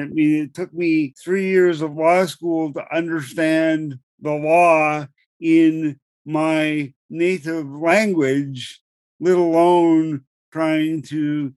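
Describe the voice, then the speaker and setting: A middle-aged man on a podcast, explaining legal matters in a measured way and repeating words as he starts each thought. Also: fairly high pitch, a little mellow, no room sound. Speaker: podcast co-host explaining law. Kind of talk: explanatory conversational chat.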